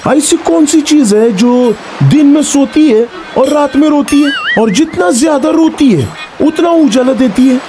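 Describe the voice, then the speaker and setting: Man playing very high-pitched, playful cartoon fisherman. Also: unhurried. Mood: eager, teasing. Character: eager, playful young fisherman friend posing riddles. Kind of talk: animated moral-story cartoon dialogue. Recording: music bed under the voice.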